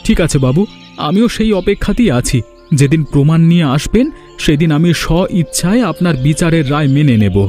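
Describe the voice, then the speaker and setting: A high-voiced, sly village trader performed by a man in a cartoon fairy tale. He is defensive and ingratiating, over faint music. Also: animated, normal speed, warm tone, natural voice. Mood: defensive, protesting. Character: sly, defensive village food-shop owner, fawning to superiors.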